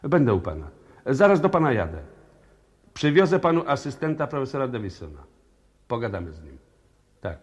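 An actor playing a brisk, persistent police inspector who questions insistently, in 1960s radio play style. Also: male voice, medium pitch, big pitch swings, slightly rough. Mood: urgent.

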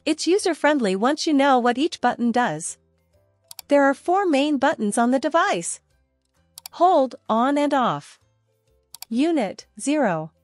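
A woman narrating a scripted product review and demo in a steady, even reading voice. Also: high-pitched, unhurried, long pauses, no echo, studio quality, faint music behind. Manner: steady, even reading of long spec lists.

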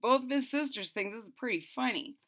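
A woman's voice: a little high, animated, fairly quick, fairly bright tone, a little hiss or hum. A woman of about thirty to forty reads a children's story aloud expressively, with chatty asides to young listeners, at home. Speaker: storybook reader. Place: small furnished room at home, indoors.

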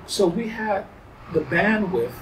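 A middle-aged man being interviewed, speaking in emphatic, drawn-out phrases. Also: high voice, animated, roomy sound, distant mic, noisy background. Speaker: interview guest. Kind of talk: reflective interview talk. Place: indoors against a pale wall.

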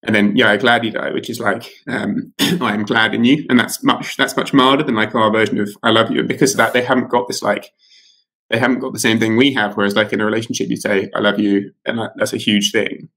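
A man's voice: lowish voice, little pitch movement, fast talker, a bit bright. A man in his thirties, an author being interviewed over a video call from home, talking casually with many fillers and restarts. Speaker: author guest. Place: home room with curtains.

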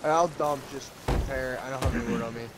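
A man's voice: mid-range voice, fairly even intonation, brisk. A man chatting casually as he plays a video game alongside a partner. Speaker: co-op gaming partner. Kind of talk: casual gaming chat. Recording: noisy game audio with boat ambience. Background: game sounds of boat and water.

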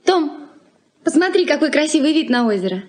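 A woman's voice: high-pitched, very animated, brisk. A woman acting a polite, eager young niece in a 1980s Soviet radio play. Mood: cheerful.

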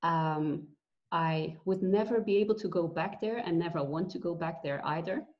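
A woman from Belgium in her forties, a guest telling her story with pauses and fillers over a video call from home. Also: on the low side. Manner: earnest, reflective, with many pauses and fillers. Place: bright room at home. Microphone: video call webcam. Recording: dull lo-fi video call audio.

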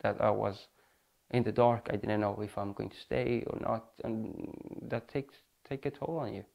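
A man in his twenties speaking softly and reflectively in a sit-down interview at home on a lapel mic. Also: fairly low pitch, normal speed, gravelly. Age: 20s. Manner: soft-spoken, reflective, with fillers. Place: apartment living room indoors.

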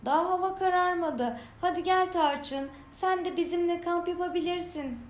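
A woman voicing an eager, curious young child in a children's storybook, with an excited manner. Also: extremely high pitch, normal speed, pitched above the performer's own voice. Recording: roomy echo, some noise.

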